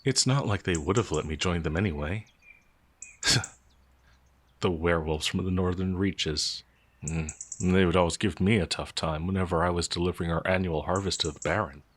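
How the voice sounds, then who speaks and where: A man's voice: sits low, no room sound. A man playing a timid, innocent werewolf farmhand in an audio roleplay, speaking in a hesitant, stammering, naively earnest way. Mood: scared, sheepish. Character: timid, gentle, innocent male werewolf farmhand, older than he appears. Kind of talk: audio roleplay character acting.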